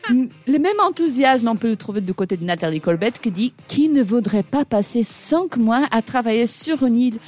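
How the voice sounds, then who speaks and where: A woman's voice: a little high, animated, normal speed, few pauses, a little mellow, faint music behind. A woman whose first language is not French, giving an ironic, chatty radio chronicle with frequent hesitations. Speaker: radio show columnist.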